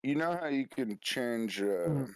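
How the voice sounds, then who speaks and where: A man's voice: medium pitch, lively intonation, takes it slowly, no echo. A man rambling loosely in casual banter with friends.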